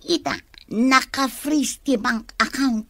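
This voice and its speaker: A highish female voice with swooping intonation for a scheming accomplice in Ilocano radio drama. She goads insistently in an Ilocano accent, recorded very dry. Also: expressive, slow pace, fairly bright tone, no echo, heavily acted. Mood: goading. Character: scheming, goading female accomplice after a business.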